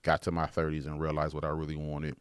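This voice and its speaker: Man, late 30s, deep voice, dry close sound, reflective therapist-host energy. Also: completely flat delivery, quick pace, clear, resonant tone, dry recording. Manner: reflective, frequent "right?" tags. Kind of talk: casual conversation.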